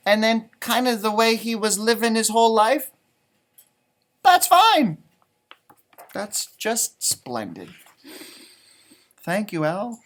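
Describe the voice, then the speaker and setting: A man reading a children's storybook aloud in an expressive, exaggerated way, with chatty asides. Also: very high-pitched. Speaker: elementary music teacher reading aloud. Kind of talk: animated children's storybook read-aloud with commentary.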